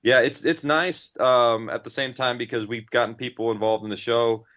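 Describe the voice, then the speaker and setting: A man's voice: mid-range voice, fairly even intonation, moderate pace, fairly bright tone. A man talking casually as an interview guest, in an informal, rambling and enthusiastic way. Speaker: interview guest, podcast writer and director. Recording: dull, lo-fi audio.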